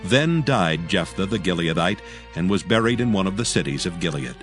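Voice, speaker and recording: A man narrating scripture in archaic English in a solemn, measured manner. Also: low-pitched, animated, music in the background.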